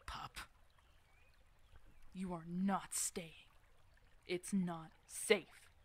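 A woman's voice: fairly low pitch, no room sound. A woman playing a protective, teasing werewolf mentor in an ASMR roleplay, casual and bossy but affectionate. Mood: stern, protective. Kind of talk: ASMR roleplay audio drama addressed to the listener.

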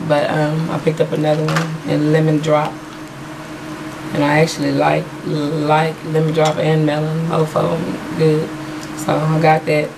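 Hoarse low-voiced woman, chatty webcam vlog. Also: completely flat delivery, a little slow, background noise. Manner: chatty, rambling with many fillers. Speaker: beauty vlogger. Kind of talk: casual haul video chat. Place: small room at home, patterned backdrop. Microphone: webcam in front of her.

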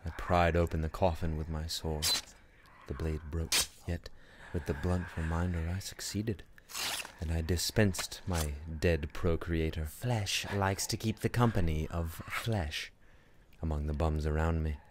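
Radio drama: male actor as tormented, sardonic prince, deep-voiced, bitterly theatrical, clearly noisy recording with ambience. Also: steady tone, measured pace. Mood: bitter, grim. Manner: brooding, dramatic, sardonic, slipping into whispers and outbursts. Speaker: performer in a student radio play. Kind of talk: theatrical radio-play monologue.

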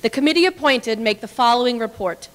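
A woman's voice: fairly high pitch, expressive, fairly quick, fairly bright tone. Young woman reading formally, echoing hall. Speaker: dramatic reader. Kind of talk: formal read-aloud of a historical report. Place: large indoor hall with brick walls. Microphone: podium microphone. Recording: reverberant hall, podium microphone.

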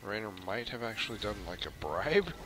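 Male gamer, lowish voice, casual, offhand, muttering commentary, game combat sounds behind. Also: very animated, normal speed. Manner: offhand, muttering to himself while playing. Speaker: gamer, gameplay host. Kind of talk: casual live gameplay commentary. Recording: game battle noise behind voice. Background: video game combat sounds.

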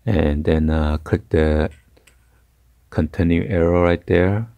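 A man giving a casual hands-on tech tutorial in a halting, hesitant way. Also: low-pitched, steady tone, creaky, dark tone, dry recording. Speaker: tutorial host. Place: carpeted room indoors.

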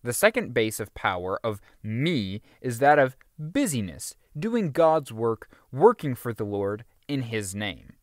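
A man narrating a religious teaching audiobook in an earnest, emphatic, deliberately phrased way. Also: medium pitch, very animated, no room sound, clean studio sound. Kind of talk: scripted audiobook reading of a religious teaching book.

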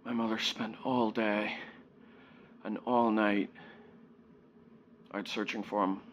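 A young man acting a slow, wistful grieving son. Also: fairly low pitch, flat delivery, muffled recording, a little hiss or hum. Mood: quiet, sorrowful. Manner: halting, subdued, reflective. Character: grieving, wistful grown son recalling childhood teddy bear. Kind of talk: dramatic monologue.

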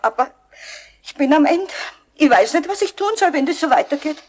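Aggrieved Bavarian house caretaker, high voice, scolding, complaining, self-pitying, children's radio play. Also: female voice, lively intonation, brisk, darkish tone. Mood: plaintive. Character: aggrieved, scolding Bavarian caretaker woman, middle-aged or older.

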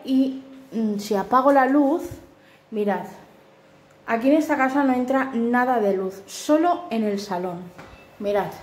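Chatty woman on an echoey phone tour. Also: fairly high pitch. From Spain. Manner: homely, apologetic, lots of fillers and "¿vale?". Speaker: vlogger giving a house tour. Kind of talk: casual chatty home tour. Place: small dim flat with tiled kitchen and bathroom, indoors. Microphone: handheld phone camera. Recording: echoey tiled rooms.

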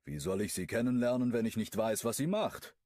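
Guy putting on a pushy dad in an audiobook, pitched a bit higher than his own, firing questions. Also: expressive, brisk, warm tone, dry recording, studio quality, natural voice. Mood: demanding. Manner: insistent, probing. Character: blunt, demanding older father.

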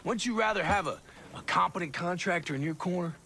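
An actor playing a cocky, menacing middle-aged American soldier with a gravelly, drawling, taunting delivery in a video game. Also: male voice, high-pitched, brisk, bright, forceful tone, a little hiss or hum. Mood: contemptuous, taunting. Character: cocky, menacing ex-special-forces soldier, hard and contemptuous. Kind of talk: video game cinematic acting.

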